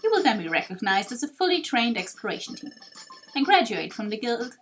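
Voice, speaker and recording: Woman voicing a formal, high-pitched game briefing officer. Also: sing-song, a little fast, fairly bright tone, slight background noise. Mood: stern, instructing.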